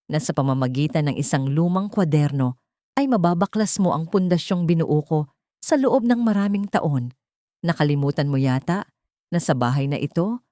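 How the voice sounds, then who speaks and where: A woman's voice: low-pitched, a little mellow, dry recording, studio quality. A woman voicing a cold, domineering elderly matriarch in an audiobook melodrama, imperious and threatening.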